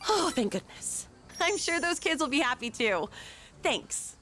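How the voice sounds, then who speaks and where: A woman's voice: high voice, big pitch swings, a little slow, pushed and bright, faint music behind, full character voice. A woman playing an upbeat, sporty teenage girl who coaches kids firmly, in an anime game dub. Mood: sheepish, grateful. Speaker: student volunteer coach.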